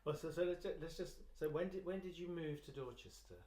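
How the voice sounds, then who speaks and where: A woman's voice: deep voice, brisk, dark tone. A woman conducting a conversational interview, tentatively rephrasing her questions as she goes. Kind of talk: conversational oral history interview.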